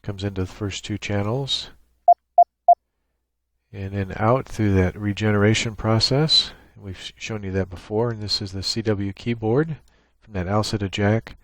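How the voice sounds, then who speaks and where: A man with a deep voice talks through a software setup as a presenter. He explains in a plain, matter-of-fact way, unscripted. The sound is dry, recorded on a USB microphone. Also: fairly even intonation, a little slow, no room sound. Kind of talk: unscripted screen-share walkthrough. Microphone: USB microphone with gate and compressor.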